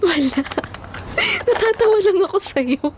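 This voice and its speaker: A woman's voice: extremely high pitch, animated, normal speed, darkish tone, a little hiss or hum. A woman with a Filipino accent acting a heartbroken young woman in an audio drama. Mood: teasing. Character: heartbroken young woman betrayed by her boyfriend.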